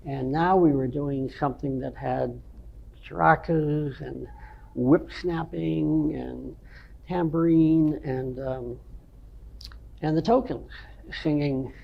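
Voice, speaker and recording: Older nasal man, gravelly and husky. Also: on the high side, fairly even intonation, muffled recording. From North Shore of Massachusetts, USA. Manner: unhurried, rambling storytelling with many ums. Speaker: veteran rock guitarist interviewee. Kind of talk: reminiscing interview answers.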